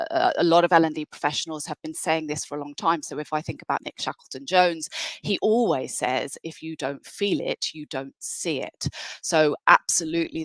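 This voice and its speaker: A woman's voice: low-pitched, fairly bright tone, dry recording. A woman presenting a webinar in an enthusiastic, conversational way. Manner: enthusiastic, conversational, with fillers and restarts.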